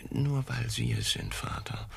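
An actor playing a weary, officious and bribable patent office director in a satirical radio play, with a pompous bureaucratic manner. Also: male voice, medium pitch, steady tone, unhurried, creaky, a bit bright, breathy edge, in a whisper, heavily acted. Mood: officious, obliging.

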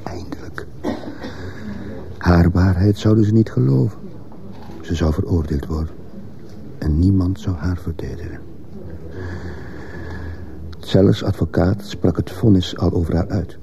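An actor playing a troubled, bitter teacher who recounts a trial in terse fragments, as a radio drama monologue. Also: male voice, low voice, measured pace, creaky, pauses often, dark tone, dull audio. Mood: bitter, brooding. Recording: noisy old radio play recording.

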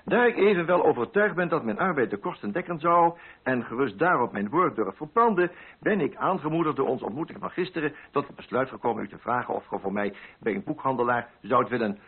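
A man reads as an earnest, needy male poet in a radio drama. The delivery is formal and pleading, with lively intonation. The voice is a little rough, and the recording is dull and narrow. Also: mid-range voice. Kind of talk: letter read aloud in radio drama. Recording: muffled, band-limited radio drama recording.

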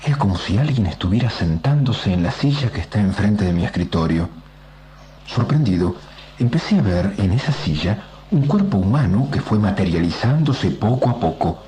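An actor playing a comics writer who narrates a strange visitation in a radio play, measured and suspenseful. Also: male voice, fairly low pitch, lively intonation, mellow, slight background noise, lightly acted, rough recording. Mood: suspenseful wonder.

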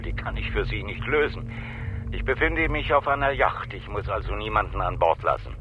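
A man's voice: lowish voice, lively intonation, moderate pace, music in the background. An actor in a German radio crime drama playing a brusque, guarded man on the telephone. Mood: curt, guarded. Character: curt, unwilling older man refusing questions by phone. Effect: telephone filter. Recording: dull noisy telephone line.

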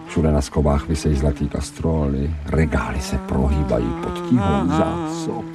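A man's voice: rumbling low, expressive. A man playing a smug, gluttonous pet dog in a radio play, savouring his thoughts and murmuring with relish. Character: smug, gluttonous male stray dog turned pampered pet. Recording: distant-miked radio production with music.

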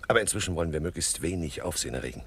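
An actor playing a brisk, cool gang boss who poses as a police inspector in a radio crime comedy. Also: male voice, sits low, animated, fairly bright tone. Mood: smoothly official. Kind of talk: 1970s German radio crime comedy acting. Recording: noisy 1970s radio drama tape.

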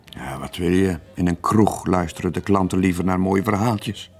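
An actor playing a gentle, laconic middle-aged sailor in a radio drama, speaking tersely. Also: male voice, sits low, moderate pace, husky. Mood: resigned. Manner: terse, understated replies. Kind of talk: 1980s Dutch-language radio drama acting.

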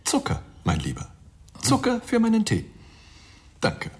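A man's voice: high-pitched, wildly swooping pitch, unhurried, exaggerated voice. A man playing a sardonic, unfazed forensic pathologist in a German radio crime drama, wry, theatrical and matter-of-fact.

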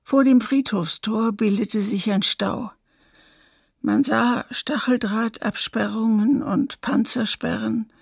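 An actress playing a female survivor who gives sober testimony in a husky, creaky voice for a documentary radio drama. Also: on the high side, steady tone, slow, no echo, clean studio sound, exaggerated voice. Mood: sober, haunted.

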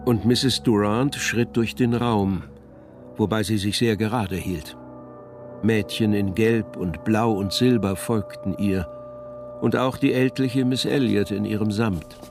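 Low-voiced man narrating a radio drama. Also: a little slow, over music.

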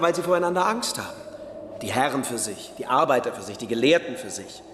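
An actor playing a calm, prophetic young man who speaks solemnly and with assurance in a German radio play. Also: high voice, heavy background noise.

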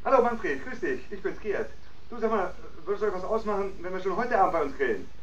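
A man's voice: high-pitched, animated, fast talker, fairly bright tone, muffled recording, a little hiss or hum. An actor playing an irritable, pressing husband who schemes against his wife, in a naturalistic German radio drama. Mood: casual, coaxing.

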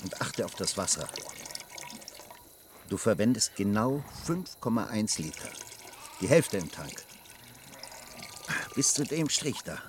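A man's voice: fairly low pitch, sing-song, slow, pauses often, a little hiss or hum. An actor playing a strict farmer father giving firm instructions to his daughter. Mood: stern. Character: stern farmer father, strict about water.

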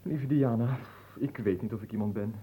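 A man playing a pompous, hapless young aristocratic suitor in a comic radio play, speaking floridly but hesitantly with a husky voice. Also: lowish voice, moderate pace, heavy background noise, close to an everyday voice. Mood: languid, courting. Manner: florid, hesitant, easily affronted.

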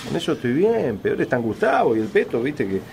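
A man's voice: mid-range voice, sing-song, a little fast, vocal fry, darkish tone, a little hiss or hum. A man with a Rioplatense Argentine accent acting a troubled, working-class factory worker in a radio drama, slangy and reflective. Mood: weary, troubled. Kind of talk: radio drama acting and first-person narration.

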